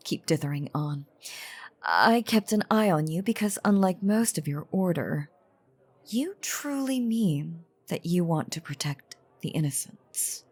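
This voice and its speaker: A woman playing an ancient, playful yet menacing female vampire in an audio drama, teasing in theatrical, old-fashioned phrasing with a husky, breathy voice. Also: on the low side, exaggerated voice. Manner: theatrical, teasing, archaic wording, deliberate pauses. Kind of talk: dramatic character audio roleplay monologue.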